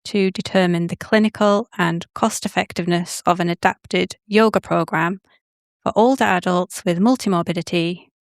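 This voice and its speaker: A woman's voice: fairly low pitch, fairly even intonation, normal speed, no echo, clean studio sound. A woman research fellow introducing herself in a podcast interview, somewhat hesitant and modest, with many fillers.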